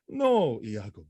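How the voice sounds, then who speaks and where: An actor playing a proud military husband troubled by jealousy, speaking Shakespearean verse emphatically and haltingly in an audio drama. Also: male voice, mid-range voice, warm tone, husky, no echo, full character voice.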